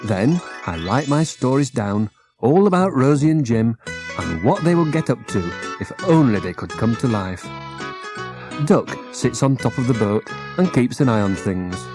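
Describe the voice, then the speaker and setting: A man's voice: medium pitch, expressive, normal speed, music in the background. A British man reading children's stories aloud as the narrator of an audiobook. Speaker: author and narrator.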